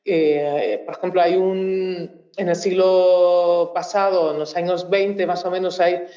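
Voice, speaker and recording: A woman from Mozambique whose first language is Portuguese speaks at a conference in a university auditorium. She searches for her words, pausing and restarting often as she thinks aloud. The sound is roomy and echoing, a bit muffled and dull. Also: on the low side, fairly even intonation, dark tone. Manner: hesitant, thinks aloud with frequent pauses and restarts. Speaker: researcher and panel speaker. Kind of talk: public talk at a conference.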